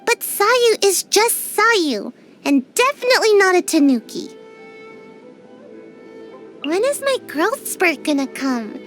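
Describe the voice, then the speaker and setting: Sleepy tiny ninja girl, high voice, slow mumbly whiny talk, bit of music behind. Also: very animated, fairly bright tone, heavily acted. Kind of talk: game character voice lines.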